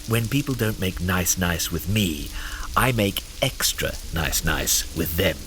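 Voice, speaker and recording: An actor playing a sly, playful courtly schemer in an audio drama, talking in flowery, teasing phrases with theatrical flair. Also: male voice, deep voice, lively intonation, unhurried, a bit bright, a little hiss or hum. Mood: persuasive.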